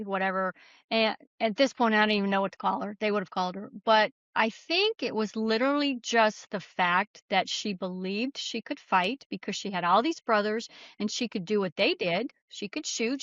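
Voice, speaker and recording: A woman's voice: medium pitch, a bit bright, no echo, highs cut off. A woman speaking as a guest author in an interview, telling stories in an earnest, explanatory, conversational way. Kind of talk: conversational interview answers, storytelling.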